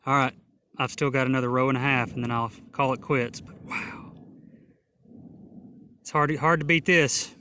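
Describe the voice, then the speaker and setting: Hoarse, excited man. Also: medium pitch. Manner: excited, slow and awed, many exclamations. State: hoarse, losing his voice. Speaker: metal detecting vlogger. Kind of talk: casual outdoor narration to camera. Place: open field outdoors.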